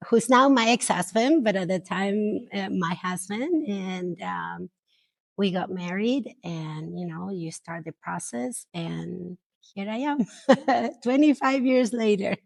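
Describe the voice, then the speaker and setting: A woman's voice: mid-range voice, slow, vocal fry, dry recording. A middle-aged woman from Argentina, reflectively telling her immigration story in an interview.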